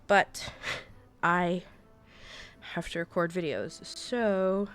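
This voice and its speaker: A woman in her twenties with a congested cold voice, walking viewers through a software tutorial in a chatty, rambling way. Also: on the low side, extremely slow, soft background music. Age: 20s. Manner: chatty, rambling, self-correcting. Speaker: gaming YouTuber. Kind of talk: casual screen-recorded tutorial.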